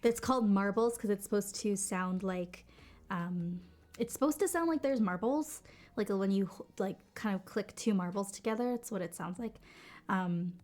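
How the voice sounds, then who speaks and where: A chatty woman hosts a live stream from her basement studio at home and shows off keyboards in a loose, rambling way. She fills her talk with lots of "um"s and "like"s and restarts her sentences. Her voice sounds a little deeper than usual because she is sick. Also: darkish tone. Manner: chatty, many fillers and restarts. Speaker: livestream product host. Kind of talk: casual live-stream product demo.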